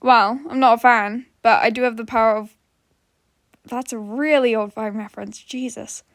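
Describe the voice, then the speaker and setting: A woman's voice: on the high side, steady tone, normal speed, no echo, studio quality. A woman podfic narrator chatting casually to her listeners in an informal, giggly, self-deprecating way.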